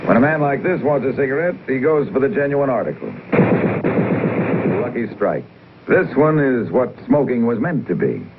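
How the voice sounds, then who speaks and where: An American man announces a vintage commercial in a measured, emphatic sales delivery. His mid-pitched voice moves with lively inflection over a muffled, clearly noisy old soundtrack. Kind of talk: 1950s scripted cigarette ad narration.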